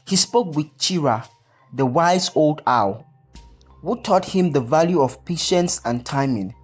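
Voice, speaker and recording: Folktale narrator, scripted storybook delivery, medium pitch, music behind. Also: expressive, unhurried, slightly rough.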